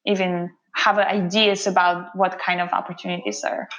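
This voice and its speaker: A woman's voice: on the low side, little pitch movement, a bit bright, muffled recording. A woman from Russia with a Russian accent, a guest talking reflectively about her career in an interview. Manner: reflective, unhurried, with fillers and restarts. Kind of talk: conversational interview.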